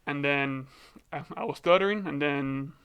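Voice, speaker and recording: Young man, stutters, clear bright tone, relaxed storyteller for a podcast chat. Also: fairly high pitch, moderate pace. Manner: stutters, repeats words. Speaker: podcast guest.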